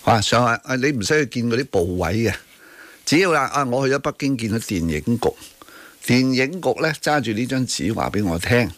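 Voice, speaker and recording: A man's voice: mid-range voice, animated, fairly quick, fairly bright tone, no echo. A man with a Hong Kong Cantonese accent hosting radio talk, arguing emphatically about current affairs with rhetorical tag questions and bits of English. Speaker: radio talk show host. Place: radio studio.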